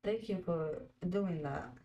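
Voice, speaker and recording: Slow-talking, low-voiced woman in her twenties. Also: mellow, dull audio. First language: Croatian. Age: 20s. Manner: chatty, laughs often, reads out viewer comments. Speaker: fan panel host. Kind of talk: casual live watch-along chat.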